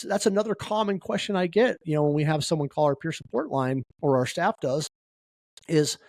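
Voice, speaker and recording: A man's voice: fairly high pitch, dry recording, clean studio sound. A man hosting a conversational podcast interview as a peer-support advocate, speaking earnestly and with emphasis.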